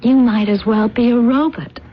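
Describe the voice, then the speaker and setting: A woman's voice: on the high side, steady tone, moderate pace, natural voice. An actress playing a blunt, emotional, free-spirited young woman in love, in a radio drama. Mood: wounded, bitter. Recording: muffled radio drama recording, noisy background.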